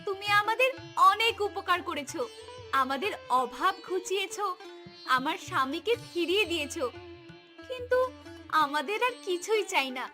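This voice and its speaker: A woman acts out a poor, honest village wife in a cartoon tale. The voice is high and gentle in temper, earnest and emotional, over music. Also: full character voice. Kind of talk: cartoon voice acting.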